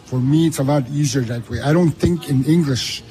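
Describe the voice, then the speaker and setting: A man whose first language is Cree, being interviewed as an author, speaking haltingly with many restarts. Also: a little high, mellow, faint music behind, poor audio. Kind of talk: casual interview talk.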